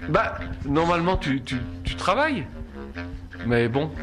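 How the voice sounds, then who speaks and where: A man's voice: a little high, sing-song, unhurried, music in the background. A man answering a vox pop casually, rambling a bit about work. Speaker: street interviewee.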